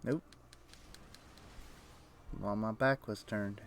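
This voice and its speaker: A man's voice: lowish voice, fairly even intonation, takes it slowly, a little hiss or hum. A man giving casual, offhand gameplay commentary as a livestreamer, muttering short reactions as he plays. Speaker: game livestreamer.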